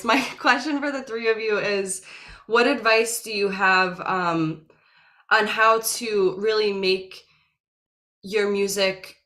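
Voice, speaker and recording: Woman in the audience on a video call, nervous and halting, kinda heartfelt. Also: medium pitch, a bit bright. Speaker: audience member asking a question. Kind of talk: question at an online panel.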